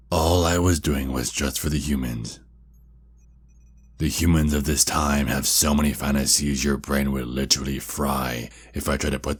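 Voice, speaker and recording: A man playing a taunting, sinister centuries-old vampire kidnapper in an ASMR roleplay, with a breathy, husky voice and slow, mocking menace. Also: extremely low pitch, full character voice.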